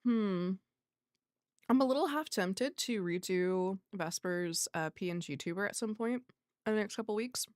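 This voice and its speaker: A soft-voiced woman hosting a livestream, chatting casually with viewers and muttering asides to herself. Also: medium pitch, moderate pace, no echo, clean studio sound. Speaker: livestream host.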